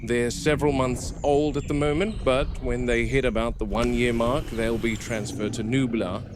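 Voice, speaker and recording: Man acting a calm game warden, noisy recording. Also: mid-range voice, lightly acted. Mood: calm, matter-of-fact. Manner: measured, clinical, precise. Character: calm, watchful male game warden keeping a dinosaur field log. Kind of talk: scripted found-footage horror narration. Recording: noisy VHS-style field recording.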